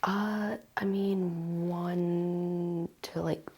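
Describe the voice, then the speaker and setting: A woman playing a mild, cooperative patient with a sore back in an ASMR roleplay, answering in a hushed, hesitant way. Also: fairly low pitch, flat delivery, extremely slow, husky, voice pushed up, exaggerated voice. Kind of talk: ASMR medical roleplay, soft-spoken.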